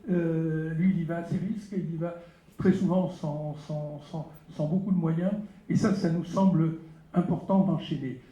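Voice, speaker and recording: A man's voice: on the high side, fairly even intonation, moderate pace, warm tone. A man, a journalist, moderates a round table, speaking emphatically and repeating words for stress. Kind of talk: panel moderation. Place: library auditorium. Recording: echoey hall, dull lo-fi sound.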